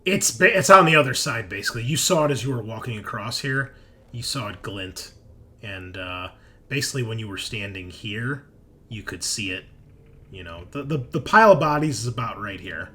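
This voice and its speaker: A man narrating a tabletop horror game as game master, improvising in halting, suspenseful phrases. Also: on the low side, animated, bright, forceful tone.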